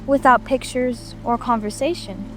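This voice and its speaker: A woman's voice: on the high side, steady tone, normal speed, fairly bright tone, faint music behind, natural voice. A woman playing a curious, polite little girl who chatters and wonders to herself in a dramatic audiobook. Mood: musing. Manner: musing aloud to herself.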